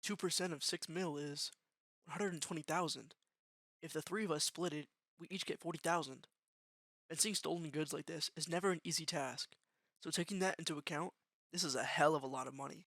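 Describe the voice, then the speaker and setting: A man playing a matter-of-fact young student gofer in a gang, narrating in the first person in a deliberate, slangy way for an anime-style fan dub. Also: on the high side, steady tone, fairly bright tone, no echo, studio quality. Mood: calculating. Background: music with guitar.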